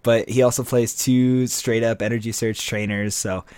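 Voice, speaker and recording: A man hosting a casual card game podcast, chatty and relaxed, with fillers and restarts. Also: medium pitch, normal speed, clean tone, dry recording. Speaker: podcast host.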